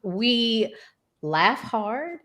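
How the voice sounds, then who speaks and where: A woman's voice: a little high, big pitch swings, drawn-out words, a bit bright. An American woman hosting a casual relationship talk show, conversational and repeating words for emphasis. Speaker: host.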